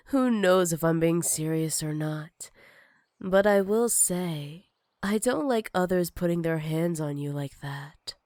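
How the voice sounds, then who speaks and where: A woman's voice: lowish voice, dry recording, studio quality, close to an everyday voice. A woman playing a jealous, possessive villain with magic, teasing and menacing in a sultry ASMR roleplay. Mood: threatening, teasing.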